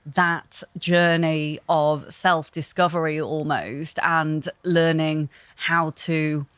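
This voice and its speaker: A young woman talking as an interview guest, thoughtful and relaxed, often pausing with fillers and restarting. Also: low-pitched, clean tone, no room sound. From Hong Kong. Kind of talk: casual interview talk.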